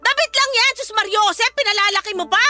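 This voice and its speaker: A woman's voice: very high-pitched, a little fast, exaggerated voice. A Filipino woman acting an exasperated, reproachful mother scolding her children in a radio drama. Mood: angry, scolding. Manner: sharp, reproachful. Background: music.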